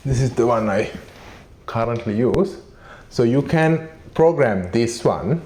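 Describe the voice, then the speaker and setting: A man giving a casual, low-key and somewhat hesitant unboxing and installation walkthrough. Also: takes it slowly, darkish tone. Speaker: vlogger demonstrating a product. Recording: clearly noisy, vehicle ambience.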